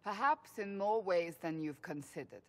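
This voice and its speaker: A woman playing a stern, earnest military leader in a fantasy game, speaking in a solemn, measured way. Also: fairly low pitch, close to an everyday voice. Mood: solemn, resolute. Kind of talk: dramatic fantasy game dialogue.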